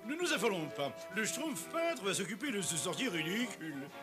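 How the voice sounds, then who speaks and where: A man's voice: high voice, very animated, normal speed. A man voicing a pompous, self-important bespectacled Smurf in a cartoon dub, hemming as he talks. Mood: pompous, dismissive. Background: background music.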